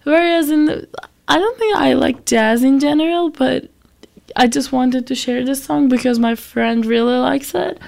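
High, creaky-voiced young woman radio host. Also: normal speed, a little mellow. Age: young adult. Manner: rambling, self-deprecating. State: recovering from a week of sickness. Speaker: student radio show host. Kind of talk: casual music show chat.

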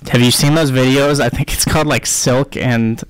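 A man's voice: medium pitch, fairly even intonation, moderate pace, a little hiss or hum, rough recording. A man in his twenties co-hosting a college radio show, in easygoing, laughing chat. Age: 20s. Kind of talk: casual chat. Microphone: studio microphone.

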